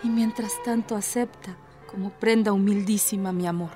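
A woman's voice: medium pitch, steady tone, moderate pace, mellow, soft background music, close to an everyday voice. An actress playing an impassioned, devout woman who pleads earnestly, with theatrical, declamatory delivery in a radio drama.

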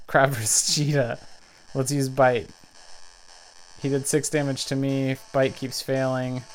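A man's voice: mid-range voice, poor audio. A man giving casual running commentary on a video game, calling out every move and the health numbers as he plays. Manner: excitable, narrates every move and health count aloud. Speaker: let's play gamer.

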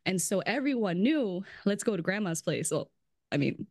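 A woman's voice: mid-range voice, very animated, normal speed, no echo. Woman guest, loose chatty delivery peppered with "like," close and dry sound. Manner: chatty, fills speech with "like", laughs often. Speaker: brand strategist guest. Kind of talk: casual podcast chat.

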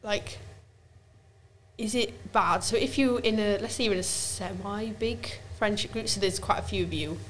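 A woman's voice: mid-range voice, normal speed, a bit bright, a little hiss or hum. A woman co-hosting a casual podcast chat, explaining at length with plenty of "like"s. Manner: chatty, explains at length with many "like"s. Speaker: podcast co-host.

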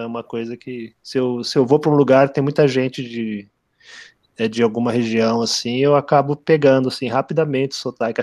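A man's voice: mid-range voice, darkish tone, no room sound, clean studio sound. A man co-hosting a podcast, telling anecdotes in a casual, hesitant way with many restarts. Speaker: podcast co-host.

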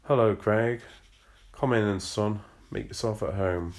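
A man's voice: on the low side, slow, a little hiss or hum. A man voicing a blunt, pushy middle-aged father with a Northern English accent, hearty and domineering.

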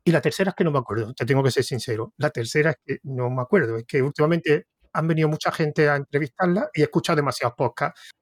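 Cordobés entrevistando en un podcast, titubea mucho, voz medio aguda y seca. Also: male voice, a little high, fast talker, no room sound, studio quality. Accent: Andalusian Spanish. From Córdoba, Spain. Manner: rambling, hesitant, restarts thoughts. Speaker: podcast host interviewer. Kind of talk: casual interview conversation.

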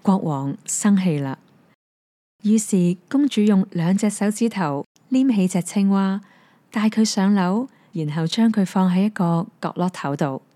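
A woman with a Hong Kong Cantonese accent gently narrating a fairy tale. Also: medium pitch, a little slow, no echo. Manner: gentle, even storytelling. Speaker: storyteller. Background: soft piano music.

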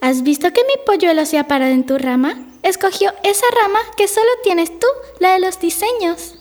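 A woman's voice: extremely high pitch, moderate pace, a little mellow. A woman playing a cheerful, sociable mother hummingbird in a school radio play. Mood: admiring.

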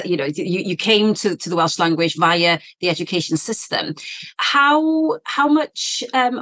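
A woman's voice: on the low side, fairly bright tone. A woman hosting an academic podcast, asking long questions with encouraging remarks and many fillers. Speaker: podcast host, professor.

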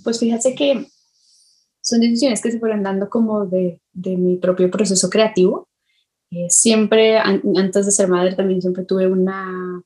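A woman, speaking reflectively in an interview, thoughtful and halting as she searches for words. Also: mid-range voice, a little mellow. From Colombia. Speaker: illustrator, podcast guest.

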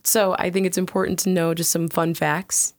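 A woman co-hosting a casual podcast, chatty and upbeat, with a soft soothing voice that often breaks into giggles. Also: fairly low pitch, level tone, moderate pace, no room sound, studio quality. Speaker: podcast co-host.

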